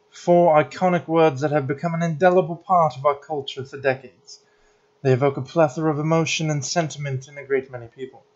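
A man narrating a scripted podcast essay on a slightly dull recording. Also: a little high.

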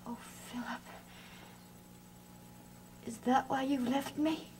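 Very high, agitated young lady. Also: steady tone, slow pace, darkish tone, a little hiss or hum. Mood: anguished. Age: young adult. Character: troubled, agitated young lady haunted by doubt. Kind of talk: 1960s gothic soap opera acting. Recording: old TV soundtrack with background music.

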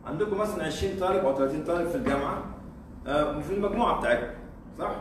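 Fast-talking Egyptian man lecturing. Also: fairly high pitch. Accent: Egyptian Arabic. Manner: lively, teasing, rhetorical questions. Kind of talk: university lecture. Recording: echoey hall from far off, faint noise.

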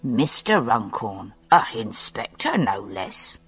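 A woman's voice: rumbling low, sing-song, slow pace, fairly bright tone, breathy edge, voice pushed down, full character voice. A woman voicing a cheerful, patient male hospital orderly, chatty and good-humoured in an audiobook. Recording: long reverb with faint background music.

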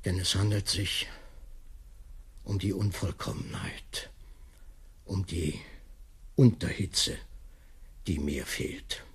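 An actor playing a pompous, timid master baker in a radio play, speaking rhetorically with a husky, creaky voice. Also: male voice, low-pitched, slow pace, long pauses, heavily acted. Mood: brooding, self-pitying. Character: brooding, grandiloquent master baker, timid and anxious. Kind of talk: 1980s German radio play acting.

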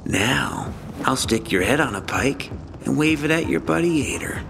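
An actor playing a cocky, vengeful young gang thug in a video game, taunting and mocking with menace. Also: male voice, medium pitch, expressive, normal speed, gravelly. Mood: menacing contempt. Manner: taunting, mocking, foul-mouthed. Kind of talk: dramatic video game acting.

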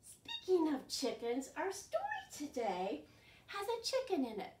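An older woman with a high-pitched voice talks to small children as a storytime host. Her delivery is slow, animated and clearly enunciated. She is recorded at home from a few feet away, with a roomy, distant sound. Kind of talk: storytime singing and talking to young children. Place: living room at home. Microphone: camera a few feet away.

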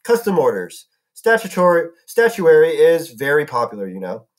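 Male reader voicing an old woman: sweet, lonely, quietly menacing; soft coaxing delivery, formal phrasing. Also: a little high, huge pitch swings, moderate pace. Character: sweetly sinister old woman, lonely garden statue maker.

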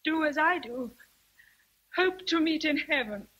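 A woman's voice: sits high, expressive, unhurried, a bit bright, low-quality recording. An actress playing a sorrowful, principled young Englishwoman in a radio drama, restrained, grave and firm. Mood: sorrowful resolve.

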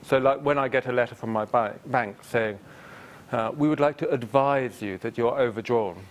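A man's voice: medium pitch, normal speed. A middle-aged man giving a lecture, answering questions from the audience in a hesitant, filler-heavy way with self-deprecating humour. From England. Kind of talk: public lecture Q&A. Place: large lecture theatre with audience.

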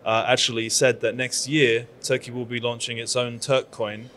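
British guy in his 30s at a conference booth, talks fast and eager, lots of ums and restarts, handheld mic. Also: mid-range voice, little pitch movement, a bit bright. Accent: British English. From London. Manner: chatty, enthusiastic, many fillers and restarts. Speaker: conference founder and PR agency owner. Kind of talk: conference interview. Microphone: handheld microphone.

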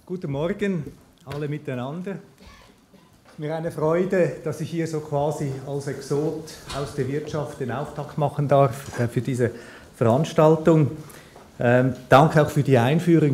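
A man's voice: medium pitch, a little mellow. Man in a very echoey lecture hall. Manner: measured, dry, with frequent hesitation fillers. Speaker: keynote speaker, company CEO. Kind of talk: conference keynote talk. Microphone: lectern microphone. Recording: very echoey hall with faint noise.

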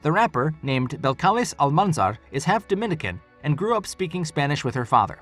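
A man reads a scripted narration in an upbeat, animated way. His voice sits a little high and moves around a lot. Soft background music plays under him. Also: fairly quick.

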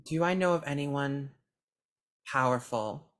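A woman's voice: extremely low pitch, unhurried. A woman playing a tabletop roleplaying game on a video call, improvising in character on a close mic.

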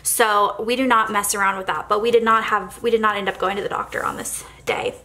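A woman's voice: medium pitch, steady tone, fairly quick, bright, forceful tone, poor audio. A young woman vlogger with a congested, nasal voice from a cold, chatting casually with lots of fillers while narrating a planner session. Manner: chatty, rambling with many fillers.

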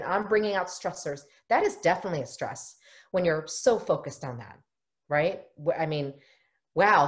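Low-voiced woman leading a workshop on a video call. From United States. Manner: earnest, explanatory, often acts out quoted voices. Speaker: family therapist leading a workshop. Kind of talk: online workshop presentation. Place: home office with bookshelves. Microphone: webcam on a video call.

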